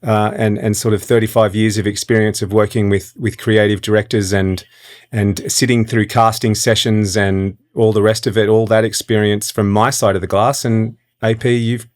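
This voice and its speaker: Male, 50s, studio director type, fairly low voice, chatty conversational explainer. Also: little pitch movement, moderate pace. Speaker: audio engineer and voice director.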